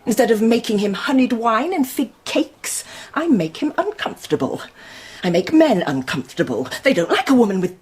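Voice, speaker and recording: A woman's voice: fairly high pitch, very animated, moderate pace, a little mellow, husky. An actress playing a proud, intelligent, outspoken young Roman noblewoman in a radio drama, poised and pointed. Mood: proud, guarded.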